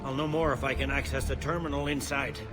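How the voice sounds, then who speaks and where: A man playing a gruff, sardonic, embattled security officer in a video game, speaking bluntly. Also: medium pitch, steady tone, fast, over music, natural voice. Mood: sardonic, focused.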